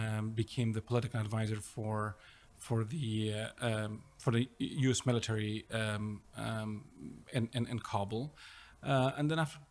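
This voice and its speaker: Middle-aged man from Afghanistan, low voice, interview guest, halting delivery with lots of ums. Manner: hesitant, many fillers and restarts. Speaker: startup co-founder and CEO, guest. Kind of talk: conversational interview.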